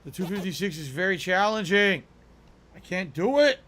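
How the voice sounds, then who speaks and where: A man with a higher-pitched voice, streaming a video game and complaining about how hard it is. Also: lively intonation, normal speed, a bit bright. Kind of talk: live gameplay stream talk. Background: game music.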